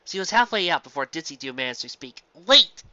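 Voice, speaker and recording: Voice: medium pitch, expressive, fast, sharp tone, dry recording, highs cut off. A narrator reading a scripted story aloud. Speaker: narrator of fan fiction.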